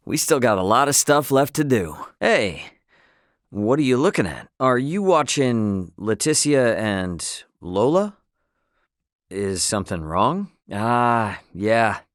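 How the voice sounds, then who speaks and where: A man's voice: medium pitch, very animated, takes it slowly, fairly bright tone, dry recording, clean studio sound. A male actor playing a brash, good-hearted young spacefaring captain in a video game, with casual, slangy, plain-spoken delivery. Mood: casual, friendly.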